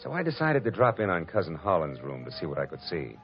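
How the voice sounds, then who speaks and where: An actor playing a wry, wisecracking hard-boiled private detective who narrates a 1940s radio drama. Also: male voice, low voice, wildly swooping pitch, quick pace, full character voice. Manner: dry, wisecracking, clipped. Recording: lo-fi old radio broadcast with music.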